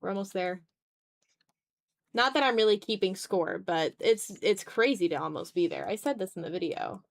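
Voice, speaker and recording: A woman's voice: mid-range voice, expressive, normal speed, vocal fry. A woman hosting a casual art livestream, thinking aloud in a self-deprecating way as she draws. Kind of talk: casual chat while drawing on a livestream.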